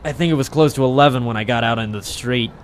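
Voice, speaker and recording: A man playing a cynical, wisecracking waterfront troubleshooter in late 1940s noir radio style, deadpan and sardonic. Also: medium pitch, racing, slight background noise, close to an everyday voice. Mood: wry, deadpan. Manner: hard-boiled, sardonic, deadpan. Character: cynical wisecracking male private troubleshooter of the docks. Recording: old 1940s radio drama recording.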